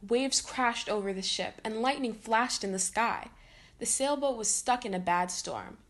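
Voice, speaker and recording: A woman reading a children's picture book aloud as a storytime reader. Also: a little high.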